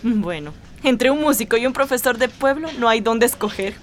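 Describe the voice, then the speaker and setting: An actress with a Costa Rican accent playing a haughty, sarcastic former lover in a radio drama, speaking with condescension. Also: female voice, on the high side, lively intonation, normal speed, natural voice. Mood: scornful.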